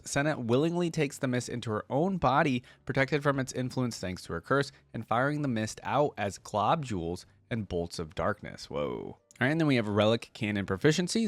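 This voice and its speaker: A man's voice: medium pitch, no room sound. A man hosting a reaction video, reading lore aloud and adding casual commentary.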